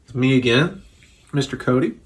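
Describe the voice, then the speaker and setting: A young man who is sick and slightly hoarse, talking loosely and profanely to camera from his living room after a few drinks. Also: medium pitch, expressive, normal speed. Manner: profane, loose and chatty, a bit tipsy. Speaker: music vlogger. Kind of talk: casual rambling talk to camera.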